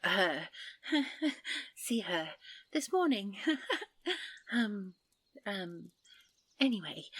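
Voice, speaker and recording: A woman playing a gentle, refined and easily flustered fairy princess in a fantasy audio roleplay, polite and soft-spoken. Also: lowish voice. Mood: wistful, giddy. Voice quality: breathy, soft. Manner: genteel, polite, stammering when nervous.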